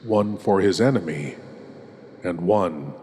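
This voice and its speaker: Low, slow, ominous man horror host. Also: mellow, slight background noise. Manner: ominous, deliberate, dramatic pauses. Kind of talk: theatrical scripted horror-host narration. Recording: long cavernous reverb effect. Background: music.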